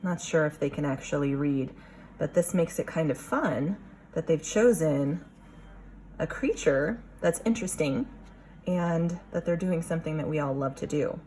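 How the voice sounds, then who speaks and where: A woman teacher reading a picture book aloud to young children, expressive, pausing to question and explain. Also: low voice, a little hiss or hum, rough recording.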